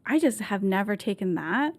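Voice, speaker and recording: A woman's voice: mid-range voice, expressive, moderate pace. Chatty woman guest on a studio mic. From Vietnam, raised in Canada. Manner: chatty, laughs often, many fillers and restarts. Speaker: podcast guest. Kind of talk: candid conversational interview. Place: bright podcast studio. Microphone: desk mic on boom arm.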